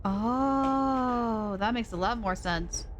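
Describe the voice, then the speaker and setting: A woman's voice: a little high, extremely slow, slight background noise. A woman streaming a game live, chatting casually in a playful, self-deprecating way. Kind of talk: casual live gameplay commentary. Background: game music.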